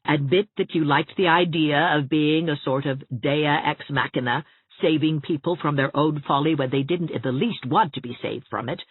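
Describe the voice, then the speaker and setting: Sensible young woman voiced by the narrator, dry and brisk; tinny close sound. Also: medium pitch, husky, no echo, close to an everyday voice. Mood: self-scolding.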